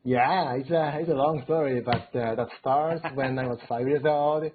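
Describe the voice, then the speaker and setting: A man in his forties from Japan with a Japanese accent, telling his story as a guest speaker, full of "you know" fillers and rising excitedly in pitch. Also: moderate pace, a little hiss or hum. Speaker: guest speaker, robotics researcher. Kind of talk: storytelling in a live lecture chat. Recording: tinny phone-like line.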